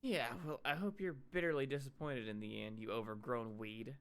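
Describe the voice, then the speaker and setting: A man's voice: medium pitch, fairly even intonation, fairly quick, a bit bright, clear, resonant tone, close to an everyday voice. A man voicing a sarcastic, fed-up young woman in a video game dub, reading in a dry, sardonic way. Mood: sardonic, disgusted.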